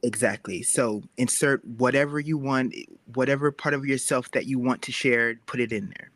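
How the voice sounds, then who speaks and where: A man's voice: mid-range voice, fairly even intonation, normal speed, fairly bright tone, no echo. A man who makes webcomics talks casually and reflectively as a guest in a panel discussion.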